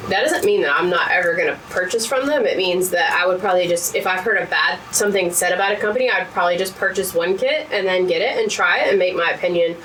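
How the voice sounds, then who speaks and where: A woman co-hosting a casual hobby chat, low-key and matter-of-fact with dry understatement. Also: quick pace, hardly any pauses, a bit bright, far from the mic, slight background noise, low-quality recording. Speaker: co-host of a diamond painting channel.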